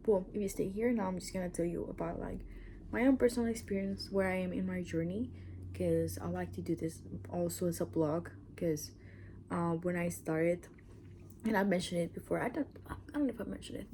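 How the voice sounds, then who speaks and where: A woman's voice: mid-range voice, moderate pace, dark tone, slight background noise. A woman from Mexico with a Mexican accent, Spanish as her first language, chatting in a casual personal vlog, rambling with asides and sometimes dropping to a whisper. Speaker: channel host.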